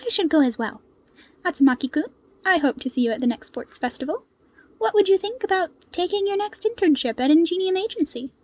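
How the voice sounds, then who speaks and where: A woman voicing a cheerful, modest young hero strategist in an audio story, with an earnest, gently exasperated manner. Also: very high voice, lively intonation, fairly quick, highs cut off, pitched above the performer's own voice. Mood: mock stern, friendly.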